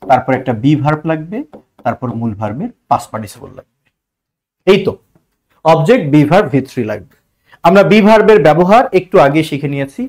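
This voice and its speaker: A man with a Bangladeshi accent teaching a grammar lesson step by step, repeating points and posing rhetorical questions. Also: fairly high pitch. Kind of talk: instructional lesson for exam students.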